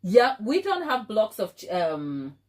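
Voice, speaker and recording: A woman's voice: mid-range voice, sing-song, a little slow, darkish tone. A woman with a Ghanaian accent hosting a casual live chat, affectionate and playful, often repeating phrases for emphasis. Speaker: baking livestream host.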